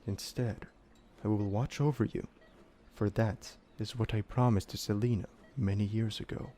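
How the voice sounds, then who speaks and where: A man playing a solemn, dutiful demon servant in an audio drama, speaking in formal, measured, old-fashioned phrasing. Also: fairly low pitch, steady tone, dark tone, close to an everyday voice. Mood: resolute. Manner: formal, measured, archaic phrasing without contractions.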